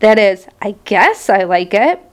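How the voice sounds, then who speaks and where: A woman's voice: medium pitch, big pitch swings, measured pace, clear, resonant tone. A woman voicing a timid, unsure young male pig in a children's storybook, hesitant and worried. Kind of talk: children's storybook read-aloud.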